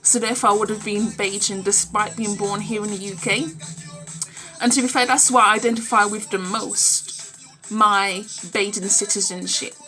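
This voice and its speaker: A woman's voice: medium pitch, fairly even intonation, moderate pace, sharp tone, soft background music. A British woman around thirty talking casually and bluntly to camera, emphatic and opinionated, recorded on a phone in a room at home. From United Kingdom. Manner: blunt, emphatic, repeats phrases for emphasis, swearing. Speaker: vlogger. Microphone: phone at arm's length.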